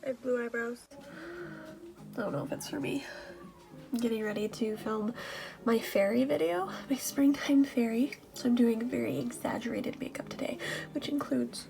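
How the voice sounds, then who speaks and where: Creaky-voiced, higher-pitched woman chatting casually. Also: fairly even intonation, moderate pace, music in the background. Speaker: ASMR video creator and vlogger. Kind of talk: casual behind-the-scenes vlog chat.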